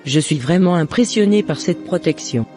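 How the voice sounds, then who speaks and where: Man voicing a high-pitched jealous neighbour woman. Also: animated, normal speed, a little mellow, faint music behind, close to an everyday voice. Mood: astonished, resigned. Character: jealous, scheming woman neighbour turned remorseful.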